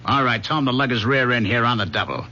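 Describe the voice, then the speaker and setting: An actor playing a gruff, sarcastic American police lieutenant in a 1970s radio drama, blunt and commanding. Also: male voice, medium pitch, fast, pushed and bright. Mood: brusque. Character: gruff, sarcastic senior police lieutenant bossing his detective. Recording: muffled old radio recording, faint hum.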